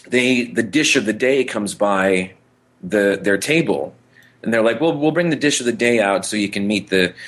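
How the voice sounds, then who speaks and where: A man's voice: on the low side, fairly bright tone. A middle-aged man co-hosting a podcast, chatting casually and enthusiastically in an anecdotal way about pop culture nostalgia. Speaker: podcast co-host.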